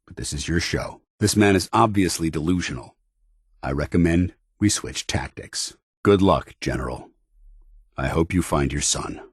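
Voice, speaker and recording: Deep-voiced, stern military paladin. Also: male voice, lively intonation, normal speed, breathy edge, dry recording, clean studio sound. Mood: firm, steadying. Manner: formal, commanding, earnest military delivery. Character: stern, honor-bound male military paladin of a soldierly brotherhood. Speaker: voice actor. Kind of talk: video game companion dialogue.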